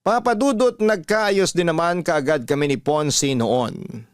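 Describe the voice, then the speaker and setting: A man's voice: a little high, a little slow, smooth, no echo, clean studio sound. A man with a Filipino accent reading a scripted first-person love story as a radio-style narrator.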